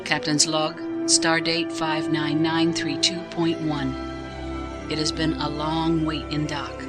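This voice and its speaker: An actress plays a female starship captain in a science fiction audio drama. She is dutiful and dryly wry, speaking in measured phrases. Loud music runs behind her voice. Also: low voice.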